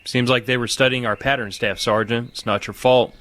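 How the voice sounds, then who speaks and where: An actor playing a brisk, level-headed army major in an audio drama, giving clipped orders and questions. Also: male voice, mid-range voice, fairly even intonation, a bit bright, lightly acted. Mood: brisk, commanding.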